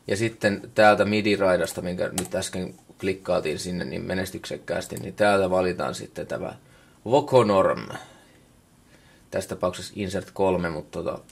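A Finnish man giving a casual, unscripted software walkthrough in a colloquial way, muttering asides to himself, recorded on a camera microphone indoors. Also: low-pitched, creaky, husky. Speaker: music production tutorial maker. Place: indoors at a computer workstation.

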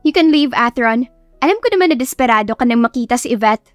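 A woman's voice: a little high, expressive, fast, no room sound, soft background music, natural voice. A woman voicing a haughty, teasing adult sister in audiobook dialogue.

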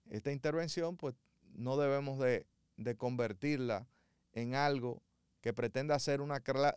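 Male legal commentator, fairly high voice, very clear and crisp, dry close sound, didactic, measured delivery. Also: dry recording. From Dominican Republic. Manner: measured, didactic, with frequent fillers and restarts.

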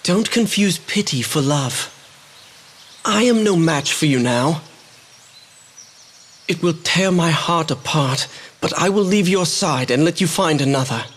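Earnest young game prince, higher voice, long reverb, music behind. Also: male voice, lively intonation, unhurried, long pauses, slight background noise. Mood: tender. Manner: earnest, resolute, gently pleading. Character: young noble male rabbit-like prince, earnest.

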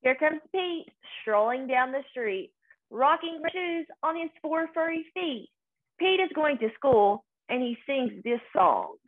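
A young woman reads a children's story aloud. Her voice sits high and she takes her time, with a sing-song lilt made for little listeners. The sound is thin and narrow, much like a phone. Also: animated, measured pace. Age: young adult. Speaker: story reader. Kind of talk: children's picture book read-aloud.

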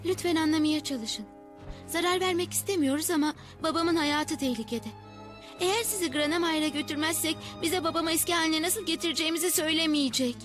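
Cartoon heroine: young warrior, brave and earnest, high voice, music underneath. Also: female voice, a little fast. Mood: pleading. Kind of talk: dubbed cartoon acting. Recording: music behind.

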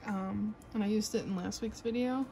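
A woman's voice: mid-range voice, steady tone, normal speed, gravelly, warm tone, poor audio. A young adult woman chatting casually as a vlogger in a bedroom at home. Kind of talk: casual vlog chat.

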